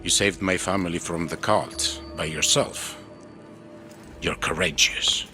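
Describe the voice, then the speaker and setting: An actor playing a weary, cautious older Greek ruler in a video game, speaking in a measured, solemn way. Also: male voice, sits low, steady tone, pushed and bright, faint music behind. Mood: guarded, grateful. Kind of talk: video game cutscene acting.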